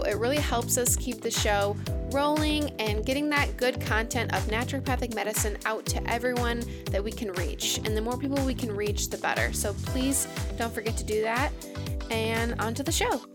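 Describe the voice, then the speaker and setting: A woman with a fairly high voice speaks as a podcast host. She delivers introductions and interview questions, with clear music playing behind her. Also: moderate pace.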